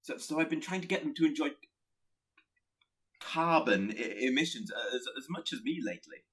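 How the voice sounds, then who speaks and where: A man's voice: fairly high pitch, expressive, a bit bright, breathy edge. Man acting a badly stuttering, nervous male pestilence spirit. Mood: gleeful. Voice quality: heavy stutter. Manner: timid, halting, rambling. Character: nervous, eager male spirit of pestilence who delights in corruption. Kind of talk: radio play acting.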